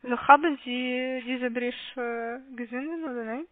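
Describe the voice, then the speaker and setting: A woman's voice: high voice, normal speed, no room sound. A woman call center agent, not a German speaker, asking hesitant, repetitive, polite questions in a phone sales conversation. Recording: muffled, narrow telephone line.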